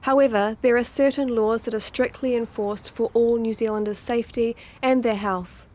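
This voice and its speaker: A woman narrates civic information from a script. Her voice sits fairly high, and she reads in a plain, even manner. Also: normal speed.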